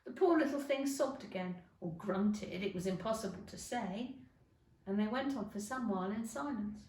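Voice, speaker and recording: Animated woman reading a children's story. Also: mid-range voice, normal speed. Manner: animated, emphatic. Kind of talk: expressive children's storybook narration. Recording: echoey room, distant microphone.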